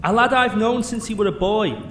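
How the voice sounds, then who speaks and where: A bullying British guard captain acted by a man from a comic fantasy audio drama. He is blunt and crude in a high-pitched voice, with an echoey room sound around him. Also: brisk, fairly bright tone. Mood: incredulous, crude. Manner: gruff, blunt, insulting. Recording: echoey room with faint background noise.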